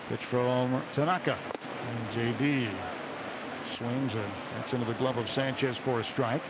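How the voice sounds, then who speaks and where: A man with an American accent calling a baseball game live on radio, play by play, and reading short sponsor spots. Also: mid-range voice. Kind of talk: live radio sports commentary with sponsor reads. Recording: dull radio broadcast with loud stadium noise. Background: stadium ambience.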